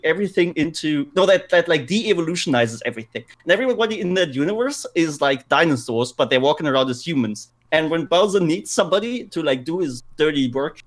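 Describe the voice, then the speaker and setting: A man co-hosting a podcast, chatting casually and repeating words for emphasis as he tells things. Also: a little high. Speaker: podcast co-host. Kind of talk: casual podcast chat about games and films.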